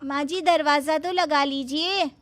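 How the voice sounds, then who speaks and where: Lady putting on a super high sugary young bahu voice, over-obedient, bright and piercing. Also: steady tone, a little slow. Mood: eager. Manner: sweet, literal-minded, high and singsong. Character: eager overly obedient young daughter-in-law.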